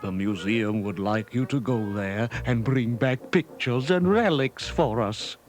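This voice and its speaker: A man's voice: medium pitch, measured pace, natural voice. A man playing a kindly, authoritative museum professor with a formal, genial, explanatory manner in a cartoon storybook with music behind. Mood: genial, businesslike.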